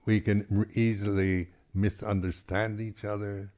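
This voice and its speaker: A man, a Buddhist monk teacher, giving an unscripted, reflective talk at a meditation retreat, halting and often clearing his throat. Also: fairly low pitch, flat delivery, darkish tone.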